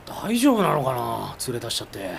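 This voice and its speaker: An actor in a radio drama playing an earnest, deferential film assistant who speaks politely and pleadingly. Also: male voice, medium pitch, huge pitch swings, a little fast, gravelly, slight background noise, exaggerated voice. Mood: concerned. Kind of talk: Japanese radio drama acting.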